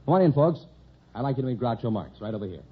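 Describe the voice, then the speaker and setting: A man's voice: medium pitch, expressive, very fast, breathy edge. An American man working as a radio show announcer in an old-time 1950s broadcast style. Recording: muffled vintage radio broadcast over music.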